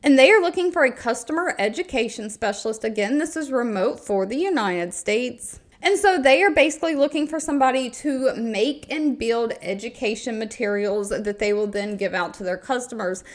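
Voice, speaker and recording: A woman with a slightly high voice presents as a video host. Her delivery is upbeat and conversational, leaning on key words for emphasis while she narrates informally from notes. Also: animated, moderate pace, hardly any pauses. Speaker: video host presenting remote job listings. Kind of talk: informal scripted screen-recording narration.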